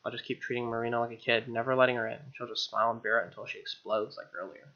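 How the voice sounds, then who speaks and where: A male let's play host reads story text aloud and drops in wry, casual comments. His voice is bright and forceful with plenty of fry, on a somewhat dull, band-limited recording. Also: mid-range voice, flat delivery, rapid-fire.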